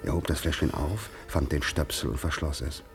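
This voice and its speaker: A man with an extremely low voice narrates a literary radio drama. He tells the story gravely and in measured phrases, with music faintly to clearly present behind him.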